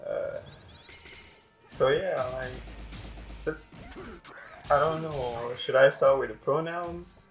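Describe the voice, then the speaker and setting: A man's voice: fairly high pitch, slow pace, pauses often, faint music behind. A young man whose first language is French, chatting casually and rambling over gameplay as host of a gaming channel. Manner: chatty, rambling, repeats words.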